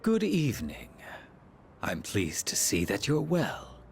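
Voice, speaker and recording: A man playing a courteous, condescending villain with formal, measured menace in an anime game. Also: medium pitch, wildly swooping pitch, creaky, soft background music, exaggerated voice. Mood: polite, smug. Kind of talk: anime game dub acting.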